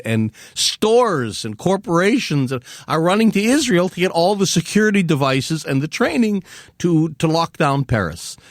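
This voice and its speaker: An older man talking as an author and activist guest on a podcast interview, emphatic and animated, often repeating words as he builds a point. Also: fairly high pitch, dry recording, clean studio sound. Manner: acts out mock quotes in a raised voice.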